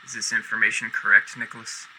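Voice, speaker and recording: Man playing a forceful, stern company boss. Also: fairly low pitch, flat delivery, fast talker, a little hiss or hum, poor audio. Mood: stern, accusing. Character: stern, officious company boss, son of the founder. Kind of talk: radio drama acting.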